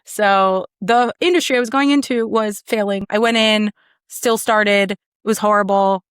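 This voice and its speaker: A woman's voice: mid-range voice, steady tone, normal speed, clear, resonant tone, dry recording, studio quality. A woman in her thirties from Maryland, a podcast guest telling her story in a confident, chatty way. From Maryland, USA. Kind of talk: casual conversation.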